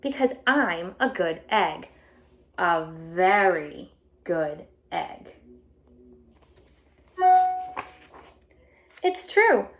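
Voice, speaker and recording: A woman's voice: lowish voice, dull audio, exaggerated voice. Woman playing an earnest, eager storybook good egg. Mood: eager, proud. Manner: expressive, emphatic storybook delivery with drawn-out key words. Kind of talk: children's storybook read-aloud.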